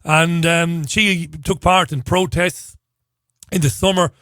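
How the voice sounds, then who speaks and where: A hoarse man hosting a talk radio show, chatting through the day's stories with wry asides. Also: fairly high pitch, fairly even intonation, slow pace, a bit bright, no room sound, clean studio sound. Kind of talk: casual talk radio monologue.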